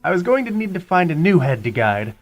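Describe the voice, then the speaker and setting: An actor playing a sarcastic, excitable male sidekick and guide in an audio drama. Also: a little high, brisk. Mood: sardonic.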